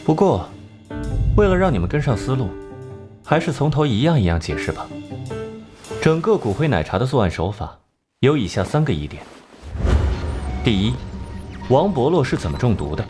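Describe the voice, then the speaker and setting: A man playing a composed, sharp-tongued genius detective in an animated crime drama, measured and condescending. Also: mid-range voice, music in the background, close to an everyday voice. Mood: coolly explaining.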